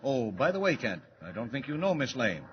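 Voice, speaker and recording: An actor playing a brusque, worried middle-aged newspaper editor in a 1940s radio serial, barking orders. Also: male voice, medium pitch, sing-song, brisk, a little hiss or hum, natural voice. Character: gruff harried male newspaper editor. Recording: dull lo-fi old radio broadcast.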